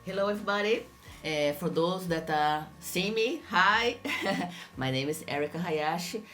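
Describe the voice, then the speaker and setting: A woman from Brazil, a pastry chef, chatting as an interview guest, enthusiastic and quick to laugh. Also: lowish voice, fairly bright tone, soft background music. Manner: enthusiastic, laughs often, acts out her own exclamations. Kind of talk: casual interview.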